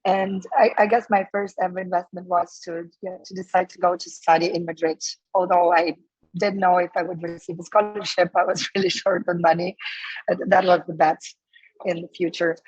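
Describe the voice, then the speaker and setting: Hoarse woman in her thirties, low flat voice, muffled dry remote call. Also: normal speed, no room sound. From Ukraine. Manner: talkative, many fillers and restarts. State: losing her voice. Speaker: guest, startup co-founder. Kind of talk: casual interview answers.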